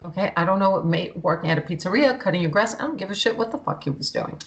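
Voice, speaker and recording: A woman's voice: fairly low pitch, lively intonation, fast talker, gravelly, lo-fi sound. A woman co-hosting a talk show, speaking bluntly and passionately, swearing freely. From Greek heritage. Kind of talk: heated political talk.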